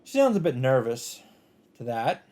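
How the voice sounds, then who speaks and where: A man reads visual novel narration aloud as a let's play narrator. His voice sits at a middle pitch, with a measured storytelling delivery. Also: sing-song, darkish tone.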